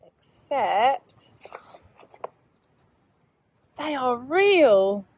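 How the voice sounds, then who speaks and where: A woman teacher reading aloud and chatting to young pupils in a measured, child-directed way. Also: sits high, very animated, a little mellow, slight background noise. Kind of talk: children's read-aloud and informal lesson talk.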